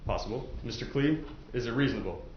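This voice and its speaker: A man's voice: medium pitch, animated, fast talker. A man speaking as a prosecutor questioning prospective jurors in a chatty, informal way, using everyday examples. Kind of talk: informal courtroom jury questioning. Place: courtroom. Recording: echoey, muffled, noisy courtroom recording.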